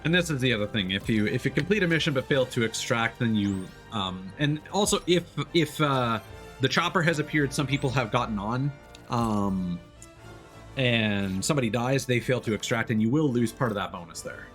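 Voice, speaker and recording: A man chatting casually as he plays a video game on stream, often pausing and restarting mid-thought. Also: medium pitch, expressive, fairly bright tone. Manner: chatty, hesitant mid-thought, playful exclamations. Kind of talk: casual gameplay commentary and chat. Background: faint game music.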